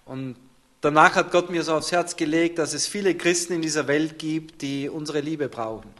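A man's voice: on the high side, moderate pace, a bit bright. A man interpreting a sermon live, turning each short phrase into a fuller sentence right after the speaker.

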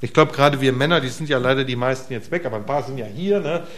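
Man preaching, middle-aged, casual sermon, talks to the congregation, loud bursts then soft asides, mid-pitched voice. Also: quick pace. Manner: casual, conversational preaching with rhetorical questions to the congregation; shifts between emphatic bursts and quiet, soft asides. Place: church hall before a congregation.

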